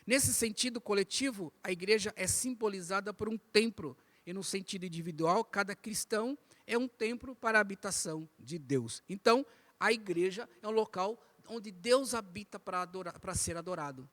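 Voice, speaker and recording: High-pitched man teaching Bible class. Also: moderate pace, a bit bright. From Brazil. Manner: didactic, repeats phrases, asks rhetorical questions. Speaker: Bible school teacher at a church. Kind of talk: church Bible lesson, part preaching. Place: inside a church, speaking to a congregation.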